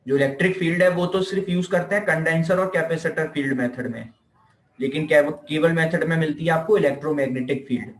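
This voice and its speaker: A man's voice: on the high side, fast. A man with an Indian accent giving an informal explanatory lecture as a teacher, repeating points and answering his own questions. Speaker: physiotherapy teacher. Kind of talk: informal explanatory classroom lecture, Hindi mixed with English terms. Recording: echoey room.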